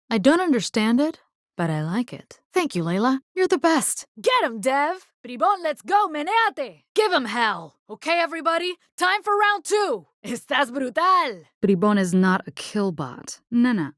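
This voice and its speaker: A woman's voice: sits high, sing-song, moderate pace, dry recording, studio quality. A woman playing a spirited, caring young combat engineer in a video game, with a Latin American accent and informal, sassy talk that slips Spanish into English. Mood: upbeat, confident.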